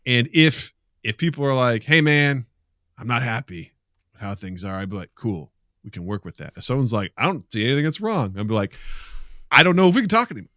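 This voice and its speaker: A middle-aged man co-hosts a casual podcast in a bright, forceful voice with lively swings in pitch. He vents with plenty of swearing and plays out imagined exchanges as he goes. The sound is dry, with no room echo. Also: brisk. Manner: sweary, animated venting, acting out imagined exchanges. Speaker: podcast co-host.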